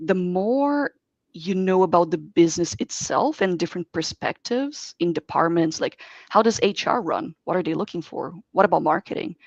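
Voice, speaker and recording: A woman from Brazil, a native Portuguese speaker, speaking as a software engineer guest in an online interview and giving earnest, expansive advice. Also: on the low side, animated, moderate pace, no room sound. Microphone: video call. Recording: dull dry video call audio.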